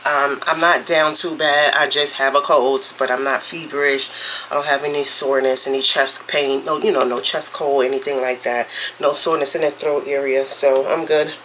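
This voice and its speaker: Casting: congested woman vlogger, bright forceful tone, rambling kitchen chat, faint noise behind. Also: rumbling low, fairly even intonation, low-quality recording. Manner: chatty, rambling, drawn-out storytelling. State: congested with a cold. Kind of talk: casual morning vlog chat. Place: home kitchen, cooking.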